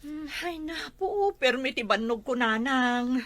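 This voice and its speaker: A woman acts a harried Ilocano housewife in a comedy drama. Her voice is high and bright, and it swings widely as she grumbles about her chores. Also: lively intonation, unhurried. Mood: weary, complaining. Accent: Ilocano Filipino. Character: busy, put-upon housewife and mother, grumbling about chores.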